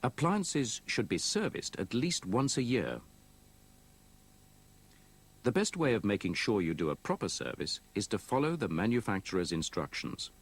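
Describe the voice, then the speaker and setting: Low-voiced male training narrator, slightly husky. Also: very animated. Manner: measured, explanatory, methodical. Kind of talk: scripted instructional narration. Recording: old VHS tape copy.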